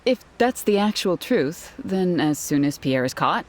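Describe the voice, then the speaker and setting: A woman playing a brisk, defensive female investigator in a video game. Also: lowish voice, animated. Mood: doubtful. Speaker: investigator character. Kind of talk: video game story voice acting. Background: faint music.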